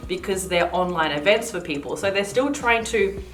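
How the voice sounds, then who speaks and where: Lowish voiced woman just chatting, lots of likes and ums, echoey room with slight hiss. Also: lively intonation, moderate pace, fairly bright tone. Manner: chatty, uses many fillers. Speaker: co-host. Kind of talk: casual chat.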